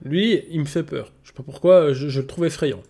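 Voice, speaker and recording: A man's voice: fairly high pitch, fairly quick, gravelly, dark tone. A man giving casual reaction commentary as a streamer watching a cartoon. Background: cartoon soundtrack being watched.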